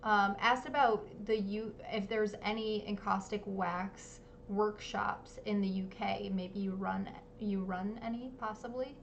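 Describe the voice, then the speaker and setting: A woman hosting a live-streamed artist interview, talking casually with lots of ums and quick apologies. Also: medium pitch, steady tone, vocal fry, dull audio, slight background noise. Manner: chatty, many ums, apologetic. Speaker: livestream interview host. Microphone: home streaming setup.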